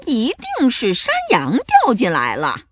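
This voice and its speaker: A woman voicing a timid, slow-spoken male ugly monster in a children's story, with a hesitant, stammering delivery. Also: medium pitch, huge pitch swings, a bit bright, slightly rough, no echo, full character voice. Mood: puzzled.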